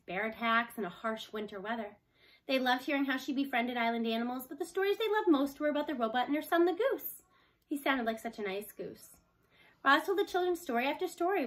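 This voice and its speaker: Higher-pitched woman reading a children's book. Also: fairly bright tone. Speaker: storybook reader. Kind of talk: children's book read aloud, scripted narration.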